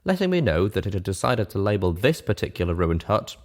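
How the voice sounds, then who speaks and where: Story reader: man with a low voice, narrating in first person. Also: very animated, a little fast. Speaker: audiobook-style reader.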